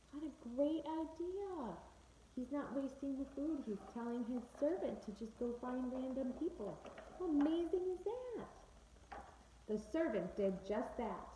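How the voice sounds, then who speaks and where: A woman reading a story aloud to children in a teacherly manner, pausing to prompt them with simple questions. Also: on the high side, warm tone. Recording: echoey room with faint background noise.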